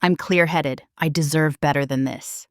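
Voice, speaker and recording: A woman voicing a calm, firm husband who is fed up, speaking in a steady, measured way. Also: deep voice, dry recording, studio quality, voice pushed down.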